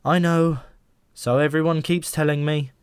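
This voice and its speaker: A man's voice: on the high side, fairly even intonation, moderate pace, clear, resonant tone, dry recording, studio quality, pitched above the performer's own voice, close to an everyday voice. A man playing a bewildered ordinary man in an audiobook, hesitant and puzzled in his questions.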